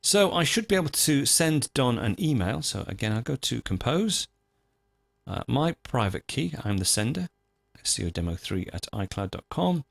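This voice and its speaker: A man presenting a software tutorial, talking informally and methodically through each step as he goes. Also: mid-range voice, big pitch swings, moderate pace, creaky, no echo. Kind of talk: screencast tutorial, unscripted step-by-step narration.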